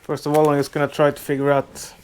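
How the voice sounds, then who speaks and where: A man with a Swedish accent, a native Swedish speaker, hosting a do-it-yourself boat restoration vlog from his makeshift workshop, talking in a halting way with many filler sounds and restarts. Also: on the high side, completely flat delivery, clear, resonant tone. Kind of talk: casual how-to narration.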